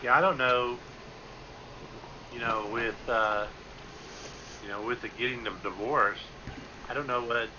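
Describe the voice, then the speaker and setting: A man speaking quietly and hesitantly in a casual chat. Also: mid-range voice, fairly even intonation, unhurried, long pauses. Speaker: guest friend. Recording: distant muffled lo-fi, faint hum.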